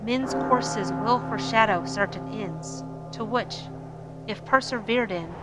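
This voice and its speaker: A woman playing a solemn, ominous spirit in a radio play, measured and portentous. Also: mid-range voice, loud music behind the voice, lightly acted. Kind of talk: theatrical radio play acting.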